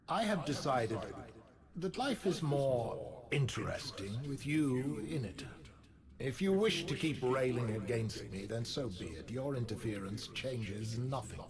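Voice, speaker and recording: Slow, menacing immortal emperor. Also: male voice, mid-range voice. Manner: deliberate, imperious, ominous. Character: ancient, coldly menacing immortal evil emperor, male. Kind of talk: video game villain dialogue.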